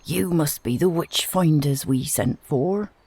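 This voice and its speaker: A woman's voice: low voice, a little slow, warm tone, husky, no echo, full character voice. A woman voicing a nervous, deferential male village elder in a storybook reading, with a meek and respectful manner.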